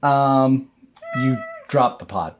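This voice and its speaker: A man working as game master, narrating a horror tabletop game in an improvised, descriptive, halting way. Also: mid-range voice, a little hiss or hum.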